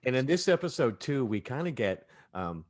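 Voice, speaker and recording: A man speaking as an audio drama director in a casual cast discussion, telling things in an animated way. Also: medium pitch, moderate pace.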